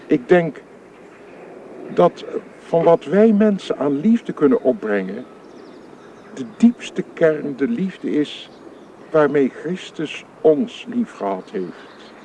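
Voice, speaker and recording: Radio drama: solemn Protestant minister, grave and oratorical, fairly high male voice, very unhurried, faint noise. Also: vocal fry, warm tone, exaggerated voice. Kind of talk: radio play acting.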